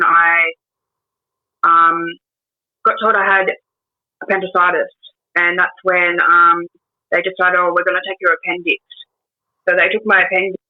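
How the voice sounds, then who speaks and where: A woman in her twenties with an Australian accent, a guest telling her life story in a casual interview, hesitant with many pauses and restarts. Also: lowish voice. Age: 20s. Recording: tinny phone-like line.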